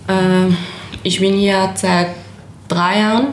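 A young woman from Syria, hosting a community radio interview in slow, careful speech with small grammar slips. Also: mid-range voice, level tone, slight background noise. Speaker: radio show host. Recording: roomy echo.

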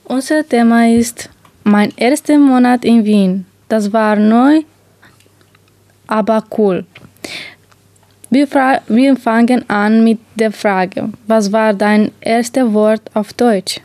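A woman's voice: a little high, fairly even intonation, takes it slowly, dark tone, no room sound. A twenty-year-old woman from Romania moderating a group talk on the radio. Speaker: radio show host.

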